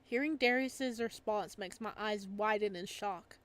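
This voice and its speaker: A woman's voice: medium pitch, unhurried. A woman hosting a casual visual novel playthrough, chatting and reading aloud. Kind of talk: casual playthrough chat and read-aloud narration.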